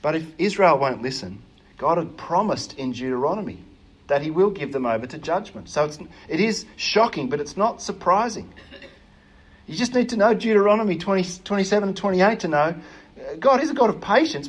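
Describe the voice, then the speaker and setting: A man preaching a sermon in an earnest, emphatic way, often pausing with hesitations. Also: high-pitched, animated, a little hiss or hum.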